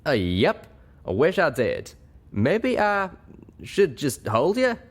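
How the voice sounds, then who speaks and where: A man's voice: on the high side, wildly swooping pitch, slow, pitched above the performer's own voice, exaggerated voice. A man voicing a young, plain-spoken cowboy pony with a folksy drawl for a storybook reading. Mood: flustered. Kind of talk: audiobook character voice.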